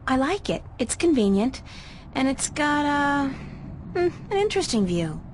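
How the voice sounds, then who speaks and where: High-voiced wry young student. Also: female voice, sing-song, a little slow, slight background noise. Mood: casual, friendly. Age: young adult. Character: casual young female art student, wry and curious. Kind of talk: video game dialogue acting.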